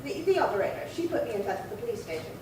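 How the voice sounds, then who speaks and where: A woman's voice: fairly high pitch, very animated, racing. A woman acting a prim, chatty, indignant old lady in her late seventies in a 1950s-style radio crime drama, fussy and rambling. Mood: earnest recounting. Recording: very echoey, distant, noisy room.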